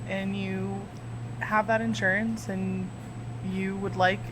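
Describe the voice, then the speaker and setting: A woman co-hosting a podcast in casual chat, teasing and quick with short reactions. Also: mid-range voice, flat delivery, background noise. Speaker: podcast co-host.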